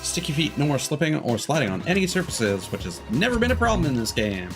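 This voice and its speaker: A man giving casual live gameplay commentary, thinking out loud as he plays. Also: fairly high pitch, big pitch swings, quick pace. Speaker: game streamer. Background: game music.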